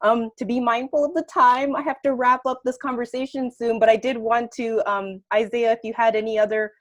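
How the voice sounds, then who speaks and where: A woman hosting an online panel, friendly and brisk, keeping time. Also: fairly high pitch, level tone. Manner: friendly, light laughter, brisk. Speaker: host, moderator. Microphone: video call.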